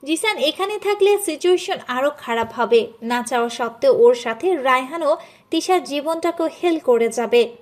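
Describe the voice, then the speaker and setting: A woman narrator with a high voice. She delivers scripted storytelling, carrying the tale between the acted dialogue. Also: lively intonation, moderate pace.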